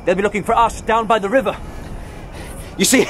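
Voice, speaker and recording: An actor playing a knight's eager, dogged younger brother in a historical radio drama. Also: male voice, high-pitched, fairly even intonation, brisk, a bit bright, slight background noise. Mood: nervous, wry.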